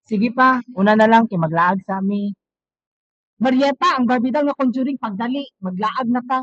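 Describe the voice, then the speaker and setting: A woman's voice: fairly high pitch, moderate pace, no room sound, lightly acted. A woman playing a teasing, chatty teenage student in an amateur radio drama, with breezy banter and a Filipino accent. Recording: muffled lo-fi recording.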